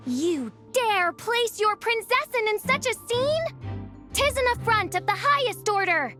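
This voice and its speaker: A woman's voice: very high voice, lively intonation, measured pace, sharp tone, heavily acted. Haughty girl princess, theatrical and pompous, old-fashioned phrasing, anime game, music behind. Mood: haughty, affronted. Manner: pompous, archaic wording.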